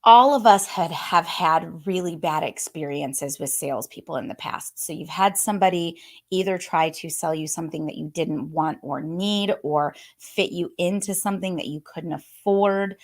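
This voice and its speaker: Hoarse, chatty woman coach. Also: fairly low pitch. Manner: upbeat and chatty, reading out viewer comments. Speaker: fitness business coach. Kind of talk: live-streamed coaching talk to viewers.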